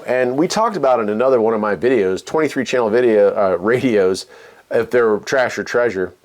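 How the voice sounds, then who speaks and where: A man's voice: lowish voice, darkish tone. A man hosting a hobby video in a casual, folksy way, musing aloud as he demonstrates equipment. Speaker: radio hobby video host. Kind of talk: casual hands-on demonstration chat.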